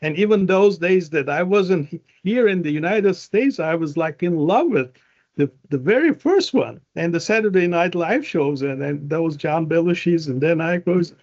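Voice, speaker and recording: A man's voice: a little high, expressive, normal speed, a little mellow, muffled recording. A man in his fifties with a Turkish accent, a professor chatting as an interview guest, rambling with many fillers and restarts. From Turkey. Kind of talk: casual interview conversation.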